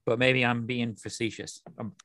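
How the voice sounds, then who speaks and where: A man's voice: mid-range voice, steady tone, normal speed, a bit bright. A man co-hosting a podcast, talking casually and a little tentatively about films. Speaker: podcast co-host.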